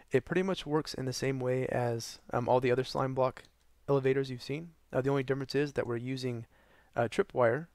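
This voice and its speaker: A young man with a hoarse, worn-out voice talks through a gaming build in a loose, rambling way, full of ums and uhs. His tone has a gravelly fry to it, recorded close and dry with no room sound. He sounds short on sleep and low on energy. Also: dry recording. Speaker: gaming channel host. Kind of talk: casual tutorial narration over gameplay.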